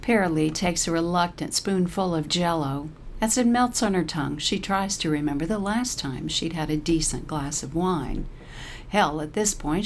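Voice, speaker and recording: A woman's voice: low voice, a little hiss or hum. A woman narrating a scripted short story.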